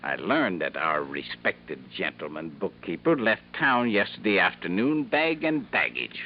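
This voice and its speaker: A pompous stingy boss. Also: male voice, sits low, very animated, unhurried. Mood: indignant. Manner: curt, indignant, self-important. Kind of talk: 1950s radio drama acting. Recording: dull old radio broadcast with faint hiss.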